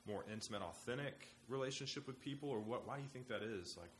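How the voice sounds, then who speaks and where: A man hosting a casual panel discussion, eager and quick to steer the talk with questions. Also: medium pitch, lively intonation, slight background noise. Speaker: host.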